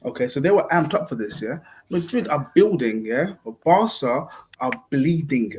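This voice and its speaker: A man on a casual football stream who talks in short points and keeps asking "yeah?" to pull his co-hosts along. His voice sits at an ordinary pitch for a man. A faint hiss runs under the recording. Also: animated, normal speed, darkish tone. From family partly Ghanaian. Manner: talks in rhetorical questions ending in "yeah?". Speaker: co-host of football podcast.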